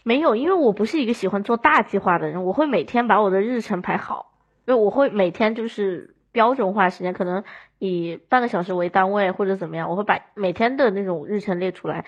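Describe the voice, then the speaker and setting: A woman's voice: mid-range voice, quick pace, highs cut off. A woman talking casually as a speaker on a podcast, in an everyday conversational way.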